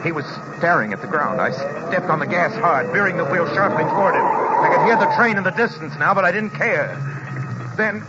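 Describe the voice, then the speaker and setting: An actor playing a haunted, anxious American motorist, intense and dramatic, in old-time radio drama style. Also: male voice, on the high side, animated, close to an everyday voice. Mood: mounting panic. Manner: intense, dramatic, halting when addressing others. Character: haunted, anxious male motorist telling his story. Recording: muffled vintage radio recording, loud music.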